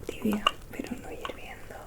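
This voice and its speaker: A woman from playing a gentle, mysterious fortune-telling witch in an ASMR roleplay, speaking in a soft, soothing whisper. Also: natural voice. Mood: gentle, attentive. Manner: slow, soothing, confiding.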